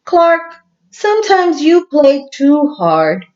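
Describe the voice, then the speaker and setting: A woman voicing a kind, patient female teacher in a storybook, gentle and coaxing. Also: high-pitched, sing-song, slow pace, highs cut off, voice pushed up, heavily acted.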